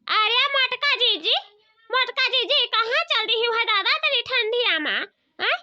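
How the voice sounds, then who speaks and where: Sly, gossipy cartoon neighbour: shrill, very high, exclamatory, Awadhi accent, lo-fi recording. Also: female voice, lively intonation, a little slow, sharp tone, heavily acted. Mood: nosy, insistent. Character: nosy, sly, squeaky village women neighbours. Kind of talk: comedy cartoon dialogue.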